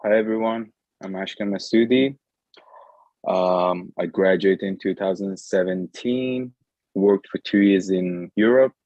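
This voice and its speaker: A man in his thirties from Iran speaks with a very low, deep voice. He runs through his career as a dentist in brisk, matter-of-fact steps over dry, muffled video call audio. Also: steady tone, darkish tone, no room sound. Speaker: foreign-trained dentist, guest speaker. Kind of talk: casual self-introduction.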